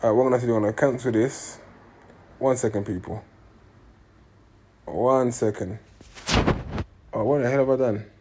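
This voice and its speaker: Halting man hosting a call. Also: medium pitch, background noise. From Congo. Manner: halting, repeats words while searching for phrasing. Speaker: podcast host. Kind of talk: casual interview. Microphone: video call.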